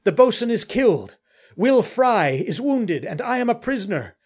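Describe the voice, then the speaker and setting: Man acting a high, shouting mutineer sailor. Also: very animated, normal speed, full character voice. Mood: urgent warning. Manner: shouting urgently, hoarse warning calls. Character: parleying mutineer sailor hollering across darkness to his mates.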